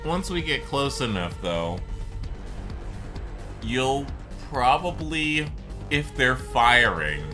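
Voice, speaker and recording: A man's voice: medium pitch, sing-song, takes it slowly, pauses often, over music. A man giving casual, rambling, explanatory commentary on a video game. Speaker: gaming video host.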